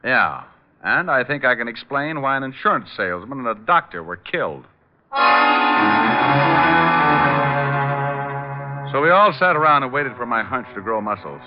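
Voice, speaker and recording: A muffled, narrow-band old radio recording of an actor playing a shrewd male private eye. He talks very quickly and fairly low, cool and probing, in classic radio crime drama style. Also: loud music behind the voice, close to an everyday voice. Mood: confident. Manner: brisk, probing questions. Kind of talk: 1940s-50s radio detective acting.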